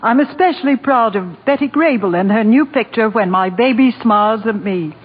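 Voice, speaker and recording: A woman's voice: on the high side, normal speed, breathy edge. A woman speaking as a guest in a scripted 1940s radio interview. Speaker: radio guest, drama coach. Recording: echoey, muffled vintage radio recording.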